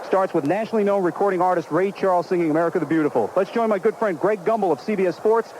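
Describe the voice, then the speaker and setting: A man announcing a pregame football broadcast on the radio, running through trivia in a brisk, fact-filled way. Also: sits high, a little mellow, a little hiss or hum, rough recording.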